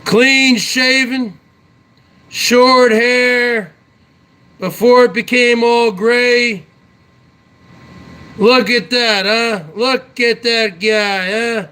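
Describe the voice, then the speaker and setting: A man's voice: very high voice, fairly even intonation, extremely slow, pauses often, bright, forceful tone, clear, resonant tone. A man in his forties hosting his own online show, chatting casually to viewers in a brash, boastful way and tagging his lines with "huh?". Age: 40s. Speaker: YouTube show host. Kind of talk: casual solo chat to viewers.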